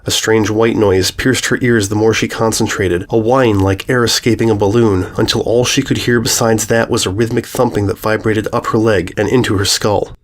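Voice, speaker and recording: A man's voice: fairly low pitch, fairly even intonation, normal speed, few pauses. A man giving a scripted, dramatic narration as a storyteller.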